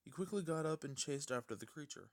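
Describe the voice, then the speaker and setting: A man's voice: on the high side, animated, fast. A man reading fan fiction aloud as an amateur narrator, stumbling now and then and rereading his words.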